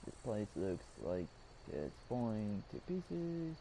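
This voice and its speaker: A man's voice: on the low side, very animated, takes it slowly, mellow, a little hiss or hum. A man casually commenting while playing a game and rambling to himself. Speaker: gamer. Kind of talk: casual let's-play commentary. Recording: clear game music behind voice.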